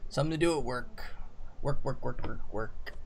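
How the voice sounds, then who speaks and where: A man's voice: medium pitch, normal speed, vocal fry. A man hosting a live stream, chatting easily and reading out chat messages and donations. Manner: easygoing, reads chat aloud.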